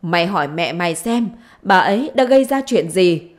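A woman's voice: lowish voice, animated, moderate pace, close to an everyday voice. A woman voicing an angry, stern middle-aged village husband in a story, scolding and making threats. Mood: furious. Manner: gruff-worded scolding and threats.

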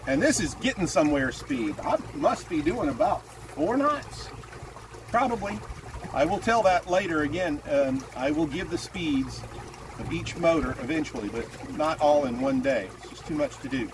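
Older man, high-ish voice, animated rambling demo talk, outdoors on a boat, motor and water noise. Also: moderate pace. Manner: lively, rambling, self-correcting. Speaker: boat builder demonstrating motors. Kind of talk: casual product demo. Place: small open boat on a lake, outdoors.

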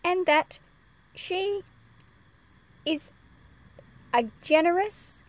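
A woman's voice: very high voice, drawn-out words, dull audio. A woman reading a picture book to children, expressively explaining it and asking them questions. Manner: expressive, explanatory, addressing young listeners with questions. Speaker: read-aloud presenter teaching children.

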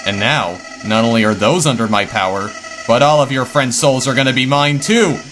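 A man's voice: mid-range voice, moderate pace, a bit bright, voice pushed down, close to an everyday voice. A man voicing a spiteful evil flower who taunts and cackles, with music playing underneath. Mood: menacing.

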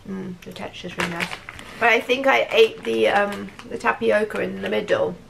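A woman in her twenties chatting casually and expressively to a vlog, croaky from a cold and sore throat. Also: mid-range voice, fairly even intonation, normal speed, fairly bright tone, poor audio. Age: 20s. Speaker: vlogger. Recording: clear background noise.

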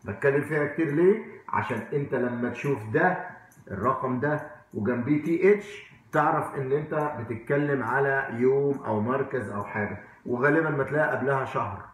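A middle-pitched man with an Egyptian accent teaches informally, as if at a whiteboard. He talks conversationally, repeating words for practice and checking with questions. The room around him rings with heavy echo. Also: fairly quick. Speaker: English teacher. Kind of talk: informal whiteboard language lesson. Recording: very echoey room.

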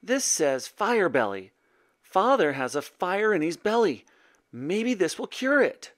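Man voicing an earnest, anxious boy, higher-pitched. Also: big pitch swings, moderate pace, a bit bright. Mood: worried. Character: young knight's son, earnest, anxious, determined boy.

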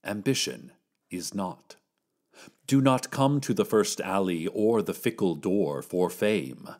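An ominous male keeper of a mysterious stairway in an audio drama. He speaks very slowly in a slightly low voice. Every phrase is formal and measured, a solemn caution given as a radio broadcast. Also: expressive, a little mellow, full character voice. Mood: grave warning. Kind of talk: scripted audio fiction radio broadcast monologue.

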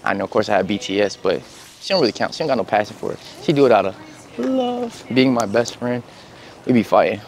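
A young man, chatting casually to viewers in a slangy, relaxed way as he walks through a store and a parking lot. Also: lowish voice, fast talker, slight background noise. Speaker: vlogger. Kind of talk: casual vlog chat. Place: outdoors in parking lots and inside a big store.

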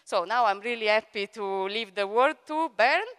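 Italian woman hosting, very clear voice. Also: medium pitch, expressive, moderate pace. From Italy. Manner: hesitant, many fillers, warm thanks. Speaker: event director and host. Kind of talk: conference introduction speech. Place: large event hall on stage. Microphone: handheld microphone. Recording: long hall reverb.

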